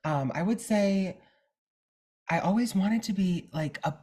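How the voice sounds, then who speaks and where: A nineteen-year-old man from Minnesota, a singer guest, talking in a bubbly, animated way over a remote link. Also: high voice, measured pace. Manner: animated, bubbly, breathy with laughs. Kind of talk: casual interview. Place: remote call, not in studio.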